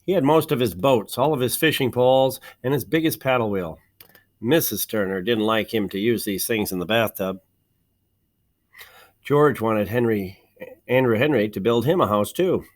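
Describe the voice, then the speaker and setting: A man's voice: medium pitch, normal speed. Man reading a children's storybook aloud. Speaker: storybook reader. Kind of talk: expressive read-aloud of a children's picture book.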